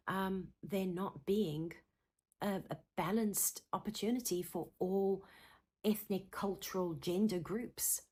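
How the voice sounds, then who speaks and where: A woman in her thirties with a South African accent, a psychotherapist giving an earnest, passionate teaching talk to camera and repeating words for emphasis. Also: fairly low pitch. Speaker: counsellor and psychotherapist.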